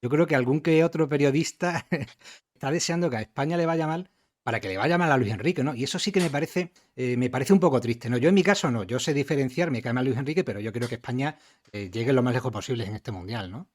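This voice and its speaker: A middle-aged man from Spain, hosting an interview and asking long, friendly framing questions in a measured way. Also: medium pitch. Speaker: podcast host and interviewer.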